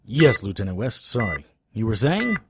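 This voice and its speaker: An actor playing a weary, lovestruck occult investigator who narrates his own case in a wry, measured way for an audio drama. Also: lowish voice, big pitch swings, noisy background. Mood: distracted, wry. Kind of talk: first-person noir audio drama narration and dialogue. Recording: music under the voice.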